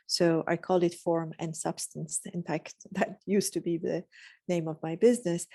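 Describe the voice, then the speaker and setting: A woman from Iran, telling her story reflectively in a measured, philosophical way as a guest. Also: lowish voice, warm tone, no echo, clean studio sound. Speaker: podcast guest.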